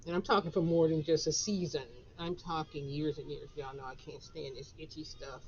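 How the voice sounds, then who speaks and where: A woman in her sixties with a Southern US accent, raspy after a flu, chatting casually to camera from her home office. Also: low voice, little pitch movement, darkish tone, dull audio, soft background music. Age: 60s. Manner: chatty, drawn-out, laughs between phrases. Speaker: author and vlogger.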